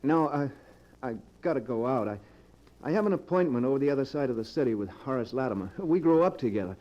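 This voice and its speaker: An actor playing a desperate, bitter American locksmith in a 1940s radio melodrama, with an urgent, halting delivery. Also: male voice, mid-range voice, animated, natural voice. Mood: evasive, uneasy. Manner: urgent, halting when cornered. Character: desperate, bitter working-man locksmith husband. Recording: dull old radio recording.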